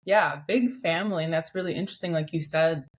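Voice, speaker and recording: A woman's voice: low-pitched, fairly quick. A woman hosting an interview show, talking in a conversational way with her guest. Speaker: podcast host and interviewer.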